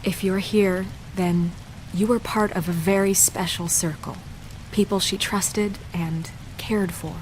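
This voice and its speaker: Casting: actress for composed game eulogist, medium pitch, measured and earnest with small hesitations. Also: female voice, fairly even intonation, lightly acted, poor audio. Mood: solemn, tender. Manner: measured, halting, formal. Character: composed, earnest woman giving a funeral eulogy. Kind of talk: solemn speech to mourners. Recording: faint rain and background noise.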